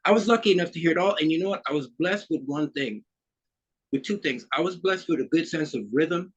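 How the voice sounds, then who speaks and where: A man's voice: fairly high pitch, brisk, breathy edge, muffled recording. A man in his fifties from Trinidad, a musician guest talking in an easygoing, rambling way with plenty of fillers. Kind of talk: casual interview chat.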